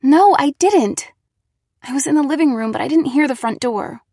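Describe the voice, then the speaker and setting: Lady doing a scared teen girl, audiobook dialogue, high voice bit raspy, emphatic and huffy. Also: animated, a little slow, darkish tone, no room sound, studio quality, heavily acted. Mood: shaken. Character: jumpy, easily frightened, indignant teenage girl.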